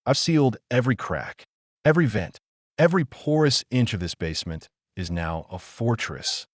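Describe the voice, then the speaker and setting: A man's voice: medium pitch, expressive, moderate pace, no room sound, studio quality, natural voice. A man playing a deranged, pious, controlling middle-aged father in a scripted audio drama monologue, sanctimonious and coldly self-justifying in short emphatic phrases. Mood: fervent, reassuring.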